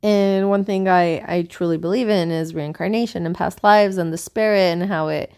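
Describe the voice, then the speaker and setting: A woman's voice: fairly low pitch, fairly even intonation, normal speed, darkish tone, clean tone. A woman co-hosting a podcast in casual conversation, talking in an earnest, rambling, musing way. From South America. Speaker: podcast co-host.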